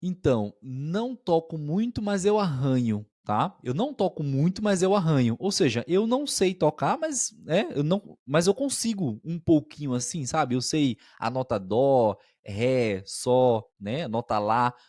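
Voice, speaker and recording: A man's voice: a little high, very animated, a little slow, few pauses, darkish tone, no room sound, studio quality. A man co-hosting a podcast as a language teacher, explaining expressions patiently and often checking that listeners follow.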